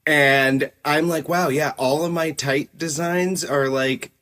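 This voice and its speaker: A man in his thirties co-hosting a podcast in casual chat, excitable and swearing, with lots of "like.". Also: a little high, takes it slowly. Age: 30s. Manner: excitable, swears, many likes. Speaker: podcast co-host.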